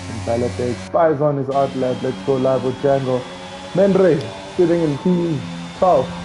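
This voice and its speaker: A man's voice: medium pitch, low-quality recording. Man calling a sim race live. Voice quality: says he has a loud voice. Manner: excitable, chatty, talks to chat viewers. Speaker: sim racing commentator. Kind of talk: live stream race commentary. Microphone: home streaming mic.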